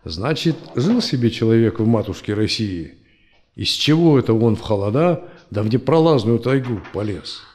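A man's voice: medium pitch, expressive, normal speed, a little mellow, lightly acted. A man playing an old grumbling grandfather in an audio drama, telling stories in a folksy, colloquial way. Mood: curious, skeptical. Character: old grumbling grandfather, fond storyteller of old history.

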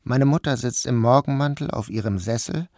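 A man narrating a literary novel in the first person, in a measured, subdued, dreamlike tone. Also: medium pitch, darkish tone, dry recording, clean studio sound. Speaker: audiobook narrator.

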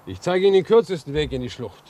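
An actor in a German radio drama playing a folksy, helpful railway line inspector who likes to chat and caution. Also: male voice, a little high, very animated, fast, mellow. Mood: folksy, obliging. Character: chatty, obliging railway line inspector guiding a stranger. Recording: noisy old radio play recording.

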